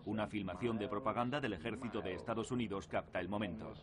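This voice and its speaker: A man's voice: fairly low pitch, fast talker, crowd noise. A man reading scripted narration for a documentary. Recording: clearly noisy documentary soundtrack. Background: clear background noise.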